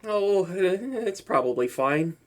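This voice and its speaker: A young man voicing a boastful but soft-hearted male supervillain in a comedic audio roleplay, theatrical and stammering when flustered. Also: very high voice, exaggerated voice.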